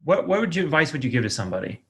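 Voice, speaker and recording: A man's voice: on the high side, sing-song, rapid-fire, a bit bright. A man hosting a podcast, asking questions in a casual, conversational interview style.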